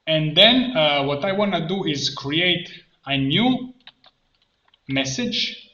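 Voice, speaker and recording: A man with a bright, slightly high voice talks through a software tutorial. He thinks aloud in halting, step-by-step phrases. The sound is echoey with faint muffling and some noise, with keyboard typing and clicks behind him. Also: lively intonation. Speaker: tutorial presenter and coach. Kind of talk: informal screen-share tutorial, thinking aloud. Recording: roomy echo, muffled band, background noise. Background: keyboard typing and mouse clicks.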